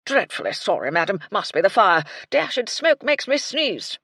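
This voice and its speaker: A woman's voice: mid-range voice, big pitch swings, moderate pace, a bit bright, no room sound, clean studio sound, voice pushed up. A woman voicing a befuddled old retired army colonel, elderly and blustering in clipped, bumbling phrases.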